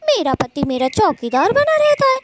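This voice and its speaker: A woman's voice: very high voice, sing-song, normal speed, heavy background noise. A woman voicing an indignant married female bird in a Hindi cartoon story, scolding and nagging. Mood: offended.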